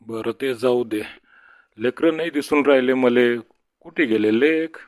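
A man's voice: mid-range voice, measured pace, lightly acted. A man voicing a fussy, complaining village father-in-law in a comedy cartoon, with a Varhadi Marathi accent. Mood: grumbling. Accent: Varhadi Marathi from Vidarbha. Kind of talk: comedy cartoon dialogue in rural dialect. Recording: dull, muffled sound.

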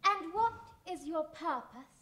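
A woman's voice: very high-pitched, lively intonation, unhurried, very noisy. A woman playing a scheming, haughty villainess who acts like a mother, in a theatrical pantomime style on stage. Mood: commanding. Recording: theatre stage recording with music.

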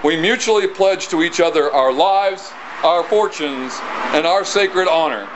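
High-pitched colonial orator, played by a man. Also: close to an everyday voice. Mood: solemn, proclaiming. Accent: American. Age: middle-aged. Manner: declamatory, projected, measured. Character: solemn colonial townsman proclaiming a public document. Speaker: costumed historical reenactor. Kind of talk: formal public reading. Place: outdoors on a stone house porch. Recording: muffled outdoor recording with clear ambient noise.

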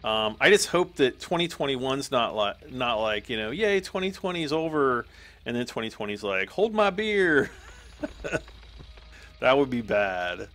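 A man with a hoarse voice giving casual, rambling commentary while playing a game, often clearing his throat. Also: medium pitch, sing-song, unhurried, fairly bright tone. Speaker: gaming YouTuber.

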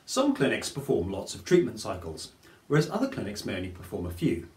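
A man, presenting a scripted explainer to camera in a clear, measured way. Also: lowish voice, wildly swooping pitch, darkish tone. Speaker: fertility advice presenter. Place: plain studio with grey backdrop. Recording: roomy studio echo.

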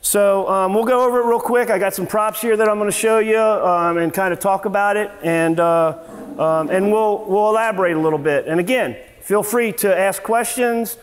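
High-voiced man teaching in an echoey garage. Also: normal speed. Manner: casual, rambling, many fillers and restarts. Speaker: service manager. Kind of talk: informal seminar talk. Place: large workshop garage indoors. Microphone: far camera, no mic on him.

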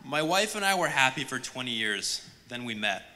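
A man's voice: mid-range voice, sing-song, normal speed. A man giving dry one-liners in a best man toast. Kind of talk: wedding toast. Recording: noisy room.

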